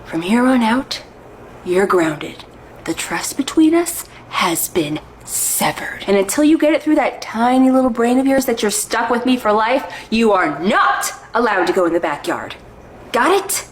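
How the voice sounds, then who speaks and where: A woman's voice: a little high, expressive, measured pace, slight background noise. A woman voicing a cat owner who lectures her pet with sarcastic, overdramatic scolding.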